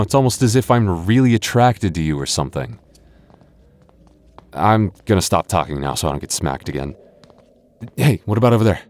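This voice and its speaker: A man's voice: fairly low pitch, a little mellow. A man voicing a boyfriend in an ASMR roleplay, stammering through restarts and teasing playfully.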